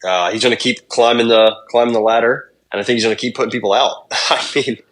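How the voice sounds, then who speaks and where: A man's voice: medium pitch, steady tone, quick pace, crowd noise. A young man co-hosting a sports podcast, talking in a laid-back, rambling way and swearing casually. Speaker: podcast co-host.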